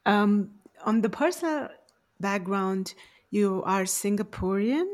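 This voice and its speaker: A woman's voice: mid-range voice, animated, takes it slowly, darkish tone. A woman interviewing casually, hesitant as she feels out and rephrases her questions.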